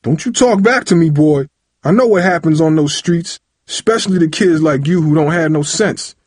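Man acting a furious, domineering dad, pitched up and loud. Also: normal speed, breathy edge, no room sound, clean studio sound. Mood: scolding, threatening. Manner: shouting, interrogating, colloquial and threatening. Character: angry, domineering father of a teenage boy. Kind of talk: audiobook character voice.

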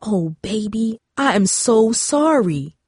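A woman's voice: on the high side, expressive, slow, a little mellow, husky, dry recording, studio quality, heavily acted. A woman playing a loving mother in a storybook, soothing and earnest. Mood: tender, apologetic. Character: loving, reassuring mother of teenagers.

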